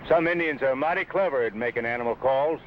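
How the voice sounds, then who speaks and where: A man's voice: medium pitch, fast talker, natural voice. An actor playing a cautious, dryly humorous American frontiersman with plainspoken backwoods phrasing, in a vintage adventure serial. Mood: wary. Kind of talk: 1950s adventure serial acting. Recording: muffled, noisy old broadcast soundtrack.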